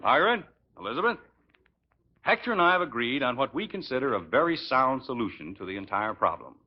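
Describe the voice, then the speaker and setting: A man's voice: mid-range voice, big pitch swings, brisk, lightly acted. An actor playing a genial, slyly firm middle-aged suburban father in a 1950s radio sitcom, folksy, hesitant one moment and emphatic the next. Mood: brisk, decisive. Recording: muffled, noisy 1950s radio recording.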